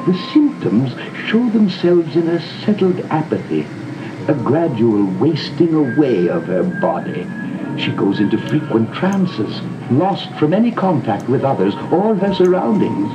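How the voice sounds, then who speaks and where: A man playing a sickly, melancholy old aristocrat in a gothic audio drama, halting and theatrical, recorded on an old cassette. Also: fairly high pitch, very animated, noisy background. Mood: sorrowful. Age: older. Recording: dull old cassette dub with music. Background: music.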